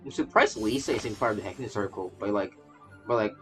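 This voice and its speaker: A man's voice: on the low side, fast, fairly bright tone. Rambling, stammering male game streamer. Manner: rambling, stammering, repeats phrases. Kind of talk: casual livestream commentary. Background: faint game music.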